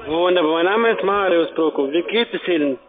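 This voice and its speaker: Male call-center seller, foreign accent, high pitched, narrow phone-quality sound. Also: fairly quick. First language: not German. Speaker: telephone sales agent. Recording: tinny phone line.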